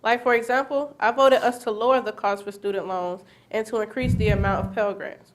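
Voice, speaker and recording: A woman's voice: a little high, steady tone, fairly quick. Young woman reading a political statement. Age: young adult. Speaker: student reader at a readers theater event. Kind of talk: scripted political statement read aloud.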